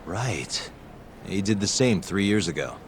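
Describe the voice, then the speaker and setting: An actor playing a cool, determined private detective in an English video game dub, with a terse, dry delivery. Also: male voice, low voice, animated, a little slow, slight background noise. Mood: subdued.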